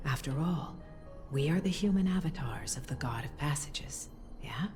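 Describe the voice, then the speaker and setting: A tender mother and temple priestess speaks low and softly with a breathy edge. Her delivery is soothing, measured and formal, as game dialogue over clear music. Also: lively intonation. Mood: reassuring. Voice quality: soft, breathy. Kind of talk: voiced game cutscene dialogue.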